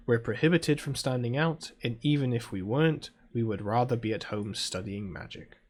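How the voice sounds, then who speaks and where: A man with a British accent reads visual novel text aloud and adds casual asides as a gaming video host, in a soft-spoken, chilled ASMR-like way. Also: medium pitch, moderate pace.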